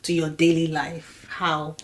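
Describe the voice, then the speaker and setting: A woman's voice: low-pitched, monotone, unhurried. The woman in middle age you would hear at the head of a podcast table, opening the show and steering the panel. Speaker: podcast host and moderator. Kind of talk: hosting a panel conversation.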